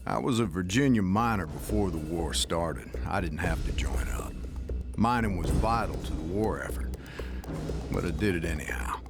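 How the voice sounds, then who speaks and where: A man's voice: sits low, expressive, normal speed, over music. A man playing a proud, reflective American infantryman who narrates his war in a video game cutscene. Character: plainspoken, proud American infantryman, former Virginia miner, recounting war.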